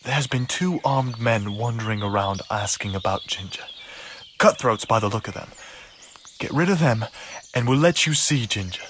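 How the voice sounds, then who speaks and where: Wary medieval woodsman played by a man, lively intonation. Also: medium pitch, normal speed, slight background noise, natural voice. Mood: guarded. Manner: wary, hedging. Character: guarded, evasive medieval charcoal burner, bargaining man. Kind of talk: video game cutscene dialogue.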